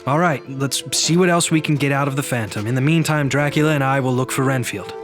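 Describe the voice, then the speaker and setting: Male actor as calm scholarly medic, analytical, precise, mid-pitched, radio drama, music underneath. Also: fast, lightly acted. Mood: composed. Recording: music bed behind voice.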